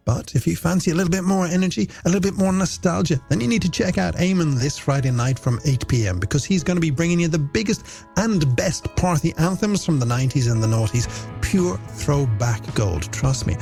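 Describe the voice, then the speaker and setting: A man's voice: on the high side, brisk, creaky, a little mellow. A man presenting a late-night music radio show, chatting playfully and directly to listeners. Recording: radio broadcast over music bed.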